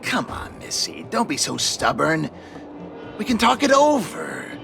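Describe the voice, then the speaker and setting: An actor playing a greedy petty thief in a video game, theatrical, taunting and wheedling. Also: male voice, sits high, wildly swooping pitch, unhurried, gravelly, music in the background, heavily acted. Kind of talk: animated video game voice acting.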